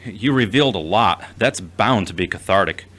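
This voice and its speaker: A man acting in an audio drama as a gentle, earnest, emotionally fragile young man, speaking in a measured, reassuring way. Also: fairly low pitch, lively intonation.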